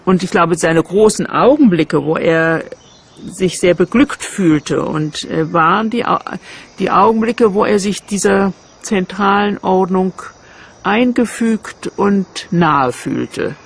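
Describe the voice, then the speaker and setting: A man's voice: high-pitched, takes it slowly, vocal fry, breathy edge. A man giving reflective interview answers, hesitant and searching for words.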